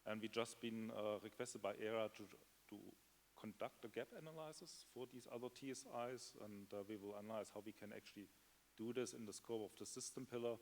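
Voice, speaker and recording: A man with a fairly low voice gives a panel answer, hesitating with fillers. The sound has a long hall reverb and a faint hiss behind it. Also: a little fast.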